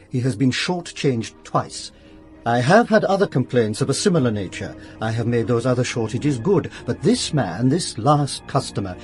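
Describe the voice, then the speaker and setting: An actor playing a courteous, troubled older shop owner in a radio drama, formal and pleading. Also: male voice, medium pitch, darkish tone, lightly acted.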